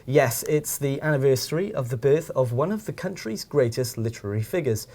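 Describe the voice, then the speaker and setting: Young guy reading the news in a tv studio, bouncy pitch. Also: animated, brisk, warm tone. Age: young adult. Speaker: news presenter. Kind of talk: student news presenting.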